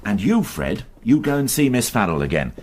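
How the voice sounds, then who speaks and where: An actor playing a blunt, sardonic police inspector with a dry, probing manner in a radio crime drama. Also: male voice, fairly low pitch, big pitch swings, normal speed, a little mellow. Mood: dry, brisk. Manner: dry, sarcastic, probing questions.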